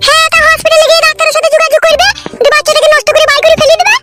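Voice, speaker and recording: A woman voicing a nosy, brash, sharp-tongued village aunt with a squeaky voice in a comic cartoon. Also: level tone, rapid-fire, over loud music. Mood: meddling. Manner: brash, meddling. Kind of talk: amateur comic cartoon dialogue.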